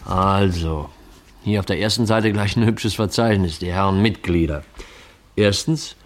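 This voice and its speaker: Pflichtbewusster Kriminalassistent mit tiefer Stimme. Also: male voice, sits low, unhurried. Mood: matter-of-fact. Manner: methodical, reporting and reading out files. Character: dutiful male police assistant, matter-of-fact, deferring to chief. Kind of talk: 1950s German radio crime drama acting.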